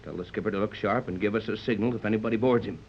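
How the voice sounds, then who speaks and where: A steady, commanding captain played by a man from a 1930s radio adventure serial. His voice sits low, with a measured, fatherly authority. The old recording is dull and faintly noisy. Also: close to an everyday voice. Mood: calm, commanding. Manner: measured, authoritative, gives orders.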